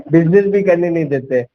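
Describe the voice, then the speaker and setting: High-voiced man on a persuasive sales call. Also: measured pace. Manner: persuasive, repeats himself, mixes in English words. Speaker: sales coach making a sales call. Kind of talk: conversational phone sales pitch. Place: at home. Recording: dull lo-fi call recording.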